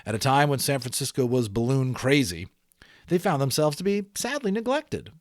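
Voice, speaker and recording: A man reading a children's story aloud in an expressive, theatrical manner, speaking directly to listeners. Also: mid-range voice, moderate pace, no room sound, studio quality. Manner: theatrical, engaging listeners with questions. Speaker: audiobook reader.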